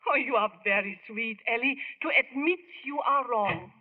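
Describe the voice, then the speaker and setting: An overbearing older mother, acted by a woman in an old radio play, with a high voice and a persuasive, self-assured manner. The sound is a slightly muffled vintage broadcast. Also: normal speed, close to an everyday voice. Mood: smugly insistent. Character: overbearing, insistent older immigrant mother of a grown son. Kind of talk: 1940s radio drama acting.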